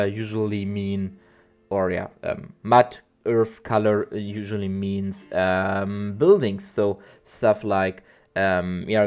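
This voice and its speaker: A man's voice: fairly low pitch, smooth. Nasal-sounding man. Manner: halting, many ums and restarts. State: nasal from allergies. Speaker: zoo-building game creator. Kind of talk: voiceover over a game speed build. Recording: soft guitar music behind.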